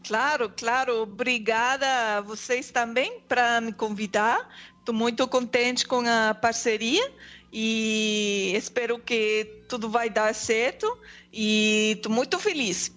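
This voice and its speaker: A woman's voice: a little high, takes it slowly, a bit bright, dull audio. A woman with a Québécois French accent, a native French speaker, chatting casually as a podcast guest. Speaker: podcast guest, immigration consultant. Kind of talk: casual chat.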